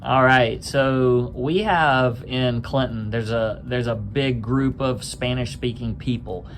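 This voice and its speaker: A man's voice: medium pitch, slow, a little hiss or hum, rough recording. A man interviewing soccer players, asking friendly, upbeat questions in a casual way.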